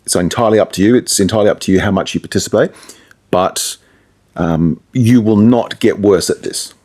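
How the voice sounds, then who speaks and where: A man's voice: on the low side, brisk, darkish tone. A man talking casually in an interview as a radio presenter, explaining things through anecdotes and asides.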